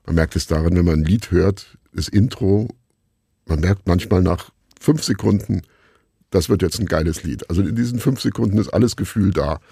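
A man's voice: low voice, normal speed, creaky, warm tone, breathy edge, no echo, studio quality. A man being interviewed as a radio play maker, thinking aloud in a reflective, discursive way.

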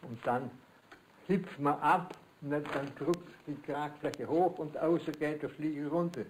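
An older man with a Swabian accent from southern Germany, explaining informally as an amateur inventor in halting, repetitive phrases. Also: fairly high pitch, a little mellow, a little hiss or hum, poor audio.

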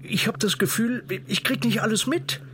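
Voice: mid-range voice, moderate pace, natural voice. A performer playing an anxious, suspicious partner in a German radio drama, uneasy and probing. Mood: anxious, accusing. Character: anxious, suspicious partner who fears being deceived. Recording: noisy background.

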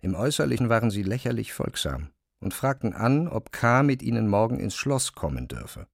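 A man's voice: mid-range voice, sing-song, normal speed, vocal fry, no echo, studio quality. A man reading scripted literary narration as the narrator of an audio drama.

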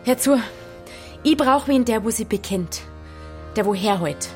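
A headstrong Bavarian woman in a radio drama, her fairly high voice urgent and insistent. She pleads bluntly in dialect, with faint music behind her. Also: animated, a little slow, darkish tone.

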